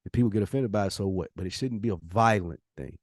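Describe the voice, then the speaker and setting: A man's voice: fairly low pitch, quick pace, no echo, clean studio sound. A man hosting a podcast, talking casually and giving opinions in an emphatic, slangy way with rhetorical questions. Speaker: podcast host.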